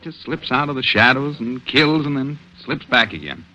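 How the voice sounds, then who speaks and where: An actor playing an earnest, worried police detective in 1940s radio drama style, brooding and terse. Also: male voice, mid-range voice, lively intonation, moderate pace, pushed and bright. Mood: grim, intense. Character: earnest, worried male police detective confiding in his sweetheart. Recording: dull vintage radio recording.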